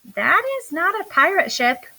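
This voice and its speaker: An earnest, literal-minded furry male pet from a children's storybook, voiced by a woman. He speaks in a high, lively voice, polite and careful, laying things out in a matter-of-fact way. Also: measured pace, creaky, full character voice. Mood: firm doubt.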